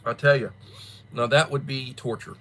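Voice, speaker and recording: A man hosting a casual livestream, chatting to viewers while still stuffed up and coughing from the flu, in a rambling, drawn-out way. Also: medium pitch, fairly bright tone, poor audio. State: congested, coughing after flu. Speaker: livestream host. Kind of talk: casual chat greeting viewers.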